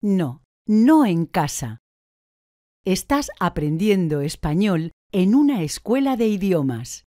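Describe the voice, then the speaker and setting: Slow, mellow woman answering drills. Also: on the low side, very animated, dry recording, clean studio sound. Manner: slow, deliberate, clearly articulated answers. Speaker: language course speaker.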